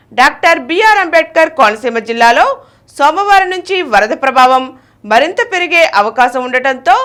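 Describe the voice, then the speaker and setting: A woman's voice: high voice, a bit bright. A woman reading a scripted news bulletin as the presenter. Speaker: news reader.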